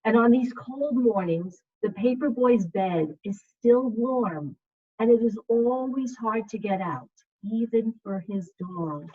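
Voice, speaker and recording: A woman teacher speaking to young children in a read-aloud style, with measured, simple phrasing over a video call. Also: a little high, expressive, husky. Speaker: second grade teacher. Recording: muffled, phone-like video call audio.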